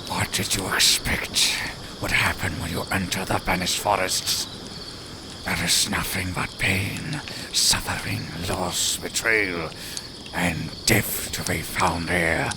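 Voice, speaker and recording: A man's voice: sits low, fairly even intonation, slow pace, background noise. A man voicing a haughty, sardonic lone werewolf in an audio roleplay, speaking to the listener in formal, condescending, theatrical phrases. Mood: mocking, menacing. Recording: night forest ambience with crickets, clearly audible. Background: outdoor forest sounds, crickets.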